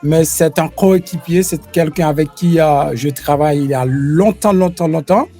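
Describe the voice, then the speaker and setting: A man from Haiti hosting an online interview, speaking haltingly with many fillers and restarts. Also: a little high, mellow, soft background music. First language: Haitian Creole. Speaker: YouTube host interviewing a lawyer. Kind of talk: casual interview.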